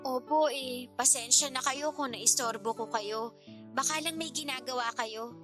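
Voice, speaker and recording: A woman's voice: high voice, normal speed, a bit bright, soft background music. A woman acting in a radio drama as a respectful, worried teenage niece, speaking hesitantly and pleadingly. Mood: hesitant, ashamed. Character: apologetic young niece, fresh senior high graduate, worried and respectful.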